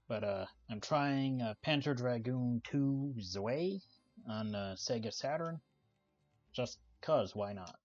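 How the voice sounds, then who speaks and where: A soft-spoken man giving casual, relaxed commentary while playing a video game, with lots of "uh" fillers. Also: medium pitch, slow pace, dull audio, faint music behind. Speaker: gaming channel host. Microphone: desk microphone.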